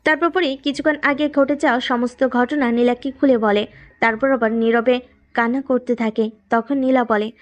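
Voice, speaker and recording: A woman's voice: a little high, steady tone, moderate pace. A woman reading scripted narration for a romantic story as its storyteller.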